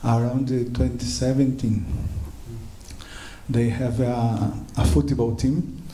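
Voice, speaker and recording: Slow, halting man. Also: mid-range voice, steady tone, mellow. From Brazil. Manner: halting, searching for words, hesitant. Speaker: audience member asking a question. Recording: echoey temple room, faint hum.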